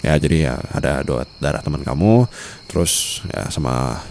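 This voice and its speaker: A man with an Indonesian accent acting a smitten, protective mafia boss in an intimate ASMR boyfriend roleplay, murmuring softly and teasingly. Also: extremely low pitch, expressive, normal speed, vocal fry, exaggerated voice. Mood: playful, nonchalant. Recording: faint night-time insect ambience noise.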